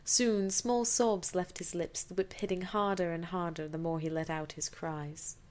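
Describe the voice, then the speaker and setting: A woman's voice: fairly low pitch, little pitch movement, moderate pace, darkish tone. A woman doing an amateur dramatic reading of fanfiction, theatrical, with incredulous, sarcastic asides.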